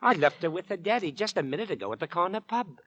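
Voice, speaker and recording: Shy earnest young working bloke, husky, bouncy pitch, dry radio play sound. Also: male voice, animated, quick pace, pushed and bright, no room sound, full character voice. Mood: relieved, eager. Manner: hesitant, folksy, deferential. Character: bashful, earnest young working-class suitor, good-natured. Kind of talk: old-time radio drama acting.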